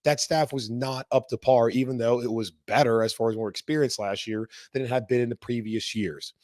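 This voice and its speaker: A hoarse man hosting a sports podcast, talking in a casual, rambling way. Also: mid-range voice, steady tone, brisk, a little mellow, no echo, clean studio sound. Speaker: podcast host.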